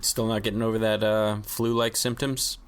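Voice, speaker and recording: Laid-back low-voiced man co-host. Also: little pitch movement, normal speed, clear, resonant tone. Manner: laid-back, laughs easily. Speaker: podcast co-host. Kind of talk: casual political chat.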